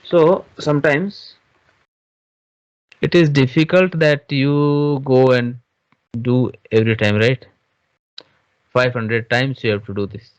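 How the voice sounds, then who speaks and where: A man teaching software step by step in an informal screen-share tutorial, halting and often checking understanding with questions. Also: medium pitch, fairly even intonation, dry recording. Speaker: SAP software trainer. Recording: dull, lo-fi online class audio.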